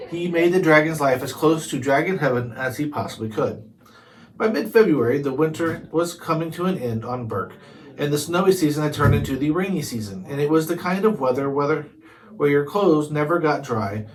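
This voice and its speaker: A man's voice: on the high side, low-quality recording. A man narrating a children's chapter book aloud, sometimes stumbling over and repeating words. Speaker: storybook reader.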